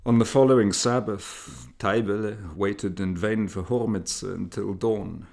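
Slow, low-voiced male storyteller. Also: gravelly, darkish tone. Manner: measured, dramatic storytelling. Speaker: audiobook narrator. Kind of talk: literary story narration.

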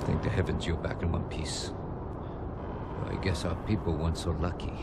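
A man's voice: deep voice, flat delivery, moderate pace, very noisy. A man playing a grim, weary security sergeant in a video game, speaking in a grave, earnest way. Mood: relieved, somber. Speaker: soldier character in a game. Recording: loud game music behind.

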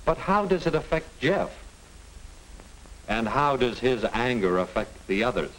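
American guy lecturing in a 1950 classroom film, medium pitch, bit of hiss. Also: sing-song, fairly bright tone, rough recording. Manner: didactic, deliberate. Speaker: narrator, psychology lecturer. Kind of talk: scripted educational film narration. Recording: hissy 1950 film soundtrack.

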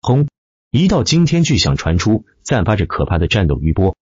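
A man's voice: on the low side, very animated, a little fast, slightly rough, no room sound, highs cut off. A man reading a dramatic scripted story as an audiobook narrator.

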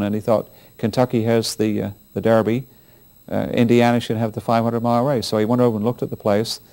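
A middle-aged man speaking unscripted in an indoor interview, with halting, conversational phrasing. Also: lowish voice, vocal fry, darkish tone. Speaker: interviewee commenting on racing history.

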